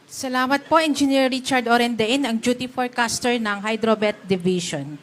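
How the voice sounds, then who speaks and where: Female moderator, Filipino accent, fairly high voice, courteous and orderly delivery for a formal press briefing. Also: normal speed. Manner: polite, procedural.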